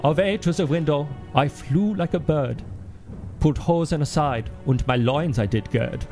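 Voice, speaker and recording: A man's voice: a little high, measured pace. Man putting on a theatrical, gloomy German poetry reader. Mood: ominous. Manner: theatrical, pedantic deadpan explanations. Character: solemn, gloomy German cultural ambassador reading scary Christmas poetry. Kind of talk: dramatic comic poetry reading. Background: music behind the voice.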